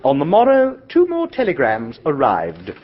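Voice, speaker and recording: A breezy young gentleman, played by a man, tells his own story in a jaunty, sing-song way. His husky voice swoops widely. The radio-drama recording sounds dull and lo-fi. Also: fairly high pitch, wildly swooping pitch, normal speed, a faint crowd. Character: young English gentleman, breezy, flustered, narrating.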